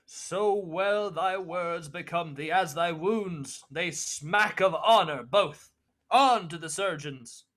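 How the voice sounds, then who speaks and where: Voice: mid-range voice, takes it slowly, a bit bright. A student actor playing a grateful, regal king of Scotland, declaiming in the style of a 1940s radio Shakespeare play. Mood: admiring. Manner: stately, declamatory.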